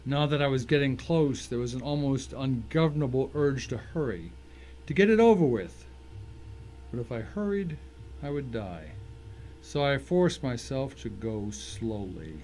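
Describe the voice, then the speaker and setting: A man's voice: mid-range voice, animated, measured pace, darkish tone, slight background noise, low-quality recording. A man reading a scripted first-person thriller story as its narrator, in a dramatic, suspenseful way.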